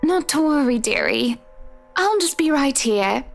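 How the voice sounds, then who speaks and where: A high-voiced married woman in a visual novel game. She speaks formally and articulately, a wife with troubles on her mind. Faint music sits behind her. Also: animated, a little slow, creaky, full character voice. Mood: polite, composed. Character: upset, well-spoken married woman venting about her husband.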